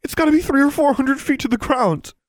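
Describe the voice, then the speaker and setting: A shaken teenage boy, played by a male narrator, pitched very high for a fantasy audiobook. He sounds choked and tearful, stammering in disbelief. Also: fairly quick, no room sound. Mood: bewildered, shaken.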